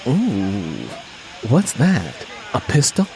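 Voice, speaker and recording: Man acting sassy wife, flirtatious, teasing, sultry, lively pitch, audiobook dialogue. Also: extremely slow, music in the background, heavily acted, poor audio. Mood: sassy, seductive.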